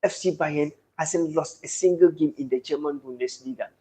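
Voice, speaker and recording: A Ghanaian man with a slightly raised, husky voice hosts a football live stream. He showers viewers with thanks and greetings. He declaims the state of the league tables. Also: on the high side, moderate pace, dark tone. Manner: declaiming sports talk. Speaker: live-stream sports host.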